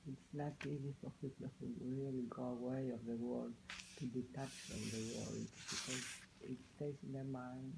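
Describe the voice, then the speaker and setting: A woman giving casual, reflective commentary in halting, non-native phrasing. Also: very deep voice, flat delivery, creaky. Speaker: listener commenting on the poems. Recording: noisy amateur home recording.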